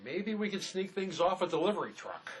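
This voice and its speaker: A man's voice: high-pitched, big pitch swings, a little fast. A man acting as a laid-back, resourceful escaped convict in a drama, speaking in a dry, folksy, matter-of-fact way. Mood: easygoing. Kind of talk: dramatic script performance.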